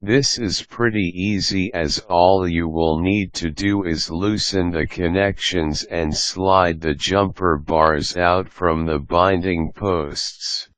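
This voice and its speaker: A man with a low voice narrates scripted instructions in a close, dry recording. He takes his time, delivering each step in a measured, orderly way. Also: fairly even intonation, a bit bright, no room sound. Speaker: tutorial narrator.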